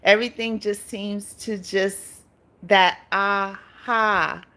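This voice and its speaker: A woman's voice: mid-range voice, steady tone, slow, pushed and bright. A chatty middle-aged woman from Barbados hosts a casual live stream. She talks straight to her viewers, greets them by name and breaks into easy laughs. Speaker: YouTube channel host.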